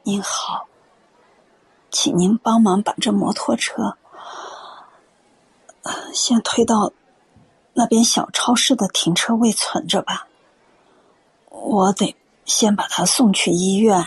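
A woman acting a selfless middle-aged injured woman in a radio drama, gentle and breathy. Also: medium pitch, fairly even intonation, slow pace, pauses often, no room sound, in a whisper, heavily acted, low-quality recording. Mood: calm, strained. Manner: gentle, reassuring.

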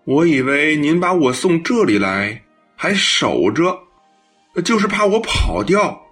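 一位年轻男演员在广播剧里饰演慌张诚恳、爱道歉的男大学生，说话结巴、带着恳求。. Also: male voice, on the high side, big pitch swings, slow, fairly bright tone, faint music behind, exaggerated voice. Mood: pleading. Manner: stammering, pleading. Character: flustered, earnest, apologetic male university student. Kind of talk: audio drama acting.

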